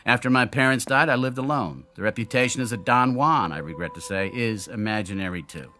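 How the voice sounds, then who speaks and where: A man's voice: fairly low pitch, fairly quick, bright, forceful tone. An actor in a radio drama playing a brilliant, lonely male inventor who explains himself in theatrical, brooding monologues. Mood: grave, frank. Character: brilliant, lonely, self-doubting male inventor of an android double.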